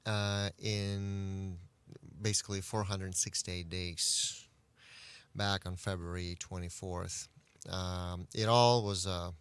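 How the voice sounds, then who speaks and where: Man, low voice, smooth tone with some fry, halting, interview guest. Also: flat delivery, fairly bright tone. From Central Asia. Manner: halting, searching for words. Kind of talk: interview account. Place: radio studio.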